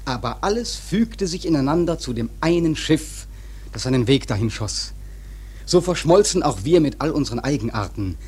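An actor playing an earnest sailor who narrates a sea tale in a radio drama, husky and intense. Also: male voice, fairly high pitch, darkish tone. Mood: solemn, stirred.

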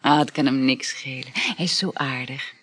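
An actress in a radio play voicing a dreamy, flirtatious married woman, speaking in a breathy, languid, halting murmur. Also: rumbling low, fairly bright tone, exaggerated voice. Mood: dreamy, affectionate. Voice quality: breathy, husky. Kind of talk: intimate radio play acting.

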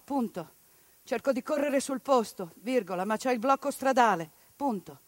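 A woman in a theatrical monologue who delivers a grave, measured report and reads the punctuation aloud. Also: high-pitched, no echo. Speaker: stage performer. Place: radio studio or stage.

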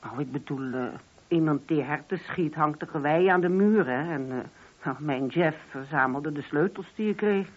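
A woman's voice: low voice, normal speed, husky. A woman playing a grieving, talkative, wistful mother in a Dutch radio play. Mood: rueful. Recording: dull, muffled old radio recording.

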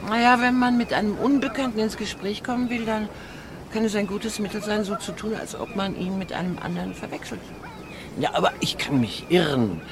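Casting: actress playing older well-travelled lady, radio drama; reflective, teasing, expressive melody over noisy ambience. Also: medium pitch, a little fast, lightly acted. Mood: knowing, teasing. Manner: formal, reflective, gently teasing. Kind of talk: German radio drama acting. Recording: clear background ambience noise.

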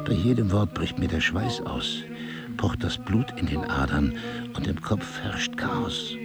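An actor playing an intense, probing husband in an intimate audio drama, speaking in an eloquent, insistent way. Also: male voice, fairly low pitch, huge pitch swings, measured pace, loud music behind the voice, heavily acted. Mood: flirtatious, urgent. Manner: insistent, questioning, theatrically eloquent. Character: intense, probing crime-writer husband with memory loss. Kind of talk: intimate two-person audio drama acting.

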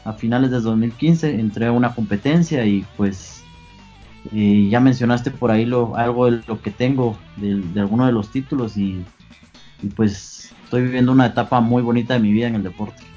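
A young Guatemalan man in his twenties with a slightly husky voice, answering questions in an earnest, halting way. The sound is dull and lo-fi, with faint music underneath. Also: medium pitch, warm tone. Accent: Guatemalan Spanish. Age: 20s. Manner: earnest, pauses with many fillers. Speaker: guest athlete. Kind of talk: interview answers.

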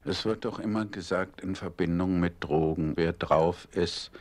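A male interviewer posing a question, listing his points one after another in a measured way. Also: sits low.

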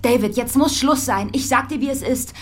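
A woman's voice: sits high, normal speed. An actress in a radio drama playing a distressed, firm woman fending off an unwanted suitor.

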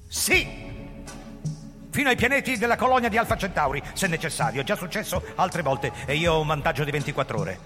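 An actor playing a paranoid, bitter middle-aged police chief in an intense, sarcastic radio drama style. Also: male voice, on the high side, lively intonation, a little fast, natural voice. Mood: agitated. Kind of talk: theatrical radio drama acting with first-person narration. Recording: reverberant hall, music playing behind.

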